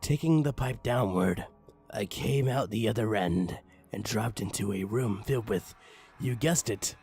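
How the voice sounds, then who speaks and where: Male narrator, lowish creaky voice, mock-dramatic comedic horror reading, faint music underneath. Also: unhurried, a little mellow. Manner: crude, mock-dramatic, swooping emphasis. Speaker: narrator, online story reader.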